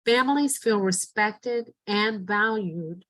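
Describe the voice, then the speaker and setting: A middle-aged woman educator reads training slides in a steady, earnest voice at a mid pitch. She guides listeners through reflection prompts with a plain instructive delivery. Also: slow. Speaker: educator and presenter. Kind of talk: scripted training narration.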